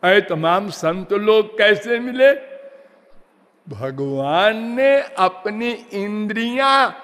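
A man delivers a devotional discourse at a very slow, deliberate pace, stretching words and sometimes lapsing into a sing-song chant. His voice sits fairly high for a man. The sound carries a long reverb, as if from a large hall. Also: lively intonation, fairly bright tone. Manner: slow measured preaching, drawn-out words, half-sung phrases. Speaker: spiritual teacher.

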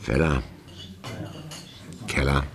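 An actor playing a wily, commanding older police commissioner near retirement in a radio crime play, with a gravelly voice. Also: male voice, a bass voice, steady tone, drawn-out words, heavily acted. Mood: sly, assured.

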